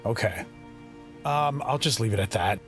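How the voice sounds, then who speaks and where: A man playing a tabletop roleplaying game, describing his actions and speaking in character in a halting, earnest way. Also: on the low side, animated, a bit bright, soft background music.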